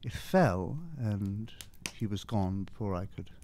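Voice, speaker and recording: A man's voice: on the low side, slow, dark tone, a little hiss or hum. A man playing a dutiful, guarded young son in a tabletop game, speaking hesitantly and a little formally. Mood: earnest, subdued.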